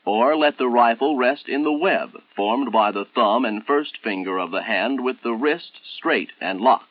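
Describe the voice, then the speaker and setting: An American man narrating a 1960s military training film in a formal, measured, instructional manner, on an old film soundtrack. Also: medium pitch, no room sound, narrow, tinny audio, natural voice.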